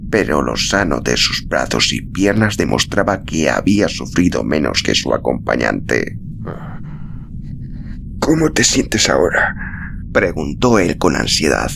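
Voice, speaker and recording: Deep creaky guy narrating a story, slow and measured, lively intonation, noisy recording. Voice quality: deep, gravelly. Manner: slow, measured, dramatic pauses. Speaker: audiobook narrator. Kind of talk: scripted literary narration.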